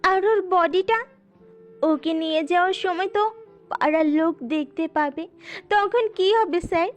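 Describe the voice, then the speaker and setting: A woman's voice: very high-pitched, measured pace. A woman playing a timid, frightened young woman in an audio drama, anxious and deferential. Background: music.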